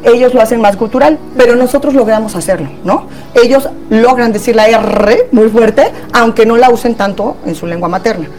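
A woman's voice: on the high side, moderate pace, hardly any pauses, over music. A woman with a Mexican accent, a therapist guest in a casual panel chat, talking in a chatty way with many tag questions.

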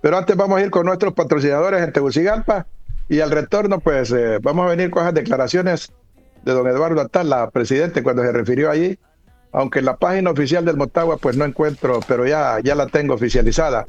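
Radio host, dull dry studio audio. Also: male voice, a little high, brisk, a little mellow, no room sound, faint music behind. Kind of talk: sports radio commentary.

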